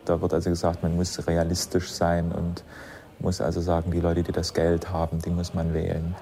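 A man reflecting earnestly on politics in a sober, deliberate way, as if interviewed. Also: deep voice, completely flat delivery, dark tone. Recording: faint ambient noise.

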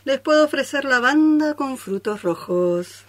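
A woman's voice: sits high, expressive, measured pace. A woman playing an obliging tea shop seller in a comic radio play. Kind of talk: comic radio theatre acting.

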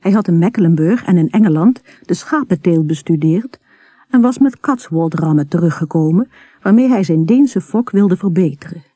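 A narrator reading a literary story in a measured, unhurried manner, with a husky, soft tone. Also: medium pitch, lively intonation, no echo.

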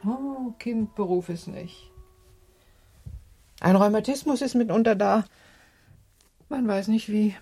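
A woman in a radio play acting a middle-aged mother, brisk, chatty and skeptical, gently scolding in a matter-of-fact way. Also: mid-range voice, slightly rough.

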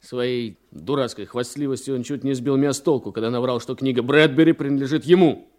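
An actor as a male detective in a Russian radio play, calm and methodical. His mellow mid-range voice lays things out with measured confidence. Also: medium pitch, close to an everyday voice. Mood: confident, explanatory. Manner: measured, explanatory. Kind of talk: Russian radio drama acting.

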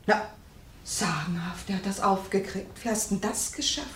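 An actress playing a sharp-tongued young girlfriend who banters sarcastically in a German radio crime drama. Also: mid-range voice, normal speed, lightly acted. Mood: mocking, amazed. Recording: roomy sound, faint noise.

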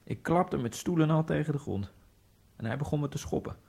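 A man narrating a radio drama in the first person, in a halting, fragmented inner monologue with a gravelly voice. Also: a little high, lively intonation, darkish tone. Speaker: narrator and main character. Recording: radio drama with faint music.

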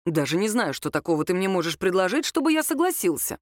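A woman reader voicing a nonchalant, teasing young man in audiobook dialogue, calm, lazy and deadpan. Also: on the low side, quick pace, dry recording, studio quality. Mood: lazy, smirking.

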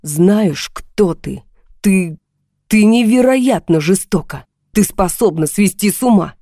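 Volatile husband as a woman narrator plays him, self-pitying, agitated, pleading, mellow timbre, dry audiobook sound. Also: medium pitch, normal speed, no room sound, close to an everyday voice. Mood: accusing.

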